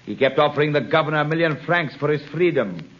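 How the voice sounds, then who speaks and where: Blunt, patronizing male jailer for 1930s radio drama. Very animated delivery, muffled period sound. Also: fairly high pitch, fast talker. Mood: matter-of-fact. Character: gruff, dismissive male prison jailer. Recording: muffled, dull 1938 radio broadcast recording.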